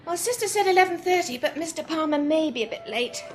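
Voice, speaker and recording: Chirpy, high-voiced British nurse. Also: female voice, expressive, fast talker, soft background music. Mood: coaxing, brisk. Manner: gushing, bright, coaxing. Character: chirpy, excitable female hospital nurse, fussing over a patient. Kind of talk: classic British radio drama acting.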